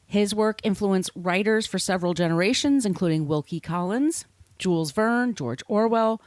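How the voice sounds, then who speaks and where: A woman's voice: mid-range voice, moderate pace, dry recording. A woman hosting a podcast, reading scripted narration with casual, irreverent asides. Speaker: podcast host.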